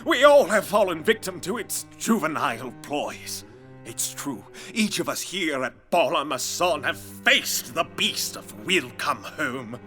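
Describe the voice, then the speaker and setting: A man's voice: a little high, big pitch swings, unhurried, pushed and bright, faint music behind, heavily acted. A man playing a pompous, wordy, fretful bug scholar in a children's audio drama, with theatrical, pedantic delivery. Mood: solemn.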